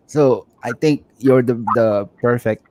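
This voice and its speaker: A man's voice: medium pitch, slow, noisy background. A man hosting a livestream interview, talking casually with repeated words and fillers like "you know". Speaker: livestream host.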